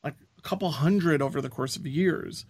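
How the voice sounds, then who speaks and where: A man's voice: fairly high pitch, normal speed, a little mellow, slight background noise. A man co-hosting a podcast, speaking in a frank, opinionated and rambling way. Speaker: podcast co-host. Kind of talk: casual chat.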